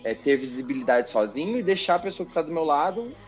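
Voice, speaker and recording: Brazilian man, tv journalist guest, lively chatty storytelling, fairly high voice, faint music underneath. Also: quick pace. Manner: animated, expressive, chatty, repeats words for emphasis. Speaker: TV journalist and presenter, interview guest. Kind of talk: casual podcast interview storytelling.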